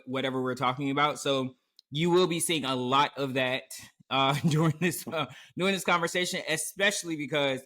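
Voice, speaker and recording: A man hosting a casual podcast chat, talking with animated energy and often quoting others in a raised voice. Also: medium pitch. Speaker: podcast host. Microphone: remote recording setup.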